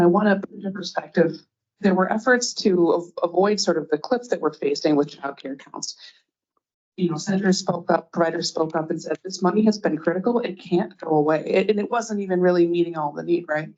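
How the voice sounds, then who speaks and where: A woman's voice: on the low side, darkish tone, dull audio. A woman advocating for child care on a panel, urging the audience to get involved in an encouraging way. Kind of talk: panel discussion. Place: event room with audience.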